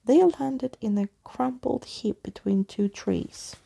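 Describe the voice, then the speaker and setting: A woman reading a story aloud as a soft-spoken, unhurried and expressive ASMR storyteller. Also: fairly high pitch, gravelly.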